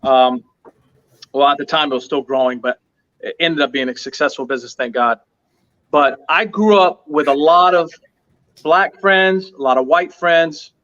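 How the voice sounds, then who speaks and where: A man's voice: on the high side, fairly even intonation, normal speed, fairly bright tone, lo-fi sound. A man who owns a restaurant, telling his story as an interview guest with emphatic, earnest repetition. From United States. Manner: emphatic, repeats points for stress.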